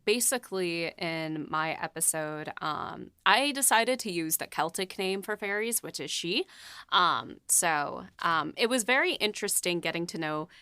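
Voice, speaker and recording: A woman who writes for the series, speaking hesitantly with many pauses and light laughs in a casual chat. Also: on the low side, expressive, bright, forceful tone, clean tone, dry recording, clean studio sound. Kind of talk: casual behind-the-scenes chat.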